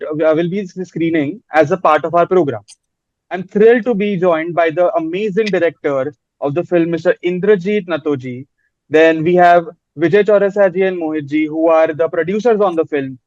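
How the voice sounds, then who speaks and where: A man's voice: fairly high pitch, darkish tone, dry recording, dull audio. A man hosting a film panel, asking questions with many fillers and hesitations. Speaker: host. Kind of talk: panel interview.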